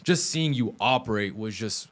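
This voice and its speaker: A man's voice: fairly low pitch, expressive, moderate pace. Rambling, hesitant male podcast host. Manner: rambling, hesitant, many fillers. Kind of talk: podcast interview.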